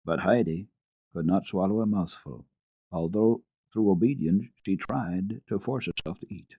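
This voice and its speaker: A man giving an expressive, careful dramatic reading of classic children's literature as a radio storyteller. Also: low-pitched, normal speed, slightly rough, no room sound, poor audio.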